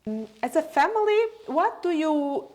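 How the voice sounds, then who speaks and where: A woman's voice: sits high, sing-song, a little slow. A woman hosting an interview in English, which is not her first language, speaking enthusiastically with small grammar slips. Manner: warm, enthusiastic, non-native grammar slips. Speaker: podcast host. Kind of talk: casual interview.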